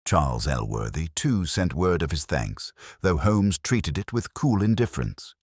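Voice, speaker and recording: A man narrating a scripted first-person mystery story in a measured, formal, literary manner. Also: sits low, sing-song, breathy edge, dry recording, studio quality. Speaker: audiobook narrator.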